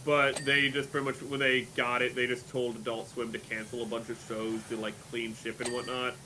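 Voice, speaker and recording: Bright-voiced man chatting animatedly. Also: mid-range voice, brisk, slight background noise, low-quality recording. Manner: animated, rambling with many fillers. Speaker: streamer. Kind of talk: casual chat while gaming.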